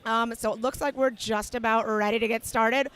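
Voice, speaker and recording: A woman commentating a tournament livestream, slightly hoarse today, explaining strategy in a relaxed way with many fillers. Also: on the high side, moderate pace. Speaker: match commentator. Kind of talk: casual sports commentary.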